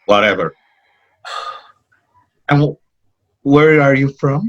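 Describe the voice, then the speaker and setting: A fussy, indignant Puerto Rican man, played by an actor with a Puerto Rican accent. He talks in a sarcastic, complaining way, at a middle pitch. The audio is dull and lo-fi. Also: expressive, takes it slowly, fairly bright tone. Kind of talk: play reading.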